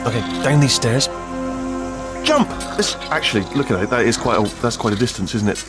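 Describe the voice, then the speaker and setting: A man's voice: mid-range voice, lively intonation, normal speed, over loud music, lightly acted. A British man playing a nervous, chatty, bumbling little robot sidekick in a comic video game, rambling and stammering anxiously. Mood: fussy instructing. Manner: rambling, stammering, self-correcting, anxious babble.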